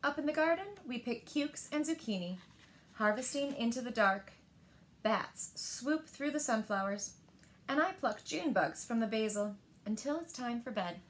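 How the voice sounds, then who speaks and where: A woman in her thirties reads picture books aloud to young children with expressive, deliberate phrasing, recorded indoors in a living room. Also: a little high, slight background noise, low-quality recording. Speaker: storyteller at a library story time.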